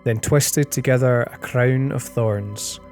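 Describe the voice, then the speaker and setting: Slow-paced man reading scripture over music. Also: medium pitch, darkish tone. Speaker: scripture reader.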